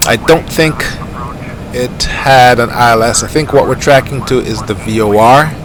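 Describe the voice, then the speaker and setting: A man's voice: moderate pace, a crowd in the background, rough recording. A middle-aged man from Jamaica with a light Jamaican accent, chatting casually and rambling with plenty of fillers while flying a simulator. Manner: rambling, easygoing, many fillers. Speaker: flight sim streamer. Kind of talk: casual gameplay commentary. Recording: steady aircraft engine rumble behind.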